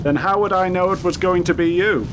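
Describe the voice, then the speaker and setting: Actor doing cagey teasing govt guy, radio play, high male voice, loud-ish rumble behind. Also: a little fast, close to an everyday voice. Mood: guarded. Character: guarded, teasing government man of secrets. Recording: clear rumbling background noise.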